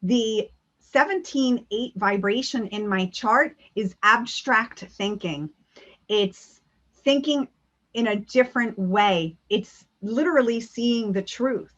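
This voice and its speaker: A woman's voice: on the high side, takes it slowly, fairly bright tone, dull audio. A woman giving an earnest spiritual talk over a video call, with many ums and restarts. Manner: earnest, many fillers, speaks of spiritual energy. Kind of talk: online talk and Q&A.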